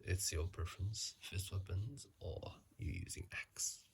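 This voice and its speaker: A man giving casual, halting ASMR commentary in a hushed, breathy whisper, sleepy and close to a phone microphone. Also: low voice, expressive, a little hiss or hum. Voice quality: breathy, gravelly soft whisper. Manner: slow, halting, hushed delivery. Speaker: video host.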